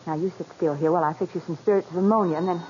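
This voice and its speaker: A woman plays a doctor's devoted wife in an old radio drama. Her mellow voice is anxious and caring, gently pressing in 1940s style. The sound is muffled, with faint noise behind it. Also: lowish voice, fairly even intonation, rapid-fire, close to an everyday voice. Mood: concerned.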